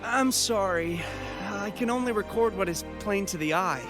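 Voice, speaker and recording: An eager, excitable game character who eagerly shows off what he has recorded. His voice sits high for a man. Game music plays clearly underneath. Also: normal speed.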